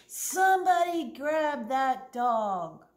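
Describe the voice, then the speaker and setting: A woman voicing a flustered, red-faced male grocery store manager who shouts in a high, frantic voice. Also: fairly even intonation, measured pace. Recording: roomy echo.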